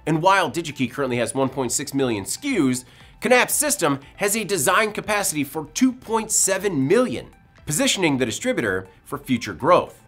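Male news presenter, forties, animated intonation, measured scripted read, faint music bed. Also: a little high. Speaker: video news presenter, editorial director. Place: indoor studio.

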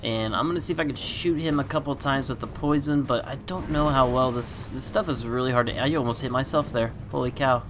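A man hosting a gaming channel, chatting excitedly over his gameplay and laughing often, with a stuffy nose. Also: medium pitch, a little fast, hardly any pauses, noisy background. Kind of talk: casual gameplay commentary.

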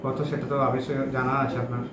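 A man's voice: mid-range voice, little pitch movement, quick pace. A young man making an insistent, repetitive phone call in casual confrontational conversation. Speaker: prank caller. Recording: echoey room with background noise.

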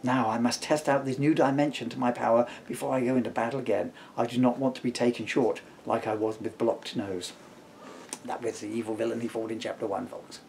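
A man's voice: medium pitch, flat delivery, brisk, gravelly, a little mellow, slight background noise. A man in his fifties reading a comic storybook aloud in a playful way, with wry asides. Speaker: author reading from own comic book. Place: living room sofa at home. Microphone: camera a short distance away.

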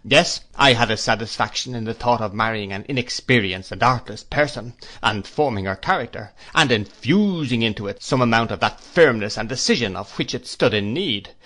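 A man voicing a cold, arrogant, severe husband with a pompous, measured and commanding way of speaking, in a classic novel reading. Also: medium pitch, animated, sharp tone. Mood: icy reproach. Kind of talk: classic novel read aloud.

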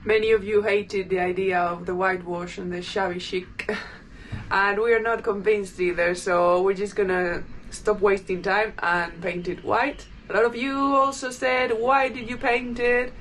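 A young woman vlogging casually from inside a boat cabin under renovation, filmed on a handheld camera at arm's length. She is chatty, explains things as she shows them, and laughs easily. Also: medium pitch. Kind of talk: casual vlog chat. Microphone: handheld wide-angle camera at arm's length. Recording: noisy boat workshop ambience.

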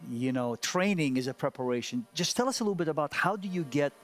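A man in his fifties interviewing on stage in a conversational, halting way, repeating words as he thinks. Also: a little high, animated, soft background music. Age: 50s. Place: large studio stage, indoors. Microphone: headset.

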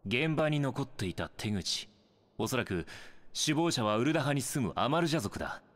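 A man's voice: medium pitch, lively intonation, moderate pace, a bit bright, in a large hall, lightly acted. A man voicing a self-assured young scout in a Japanese video game, briefing in a confident, informal way. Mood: serious briefing.

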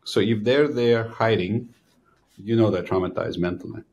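Low-voiced man in his sixties, animated physician host, close desk mic. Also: normal speed, dull audio, slight background noise. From Ukraine. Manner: animated, explanatory. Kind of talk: interview conversation. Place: small studio room with a banner. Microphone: desk podcast mic.

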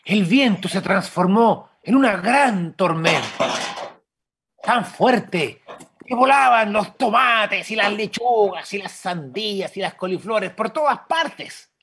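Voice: mid-range voice, animated, takes it slowly, a bit bright, slight background noise. A narrator on a video call reading a radio play in a dramatic storybook style.

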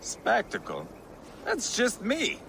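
An actor playing a brash Viking warlord who talks with sardonic, mocking humor in a game cutscene. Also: male voice, very high-pitched, wildly swooping pitch, slow pace, vocal fry, background noise, full character voice. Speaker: game character.

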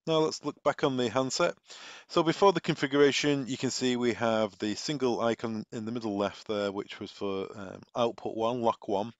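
A man's voice: medium pitch, normal speed, no echo, highs cut off. A man presenting a technical tutorial voice-over, giving instructional step-by-step directions. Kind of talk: scripted tutorial voice-over with informal walk-through.

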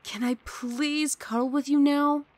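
A woman's voice: sits high, fairly even intonation, a little slow, a little mellow, no echo. A woman playing a grumpy but affectionate young female roommate in an intimate ASMR roleplay, sardonic and huffy, speaking close to the mic. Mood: huffy, teasing. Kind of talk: ASMR roleplay, intimate one-sided dialogue. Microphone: close studio mic, dry.

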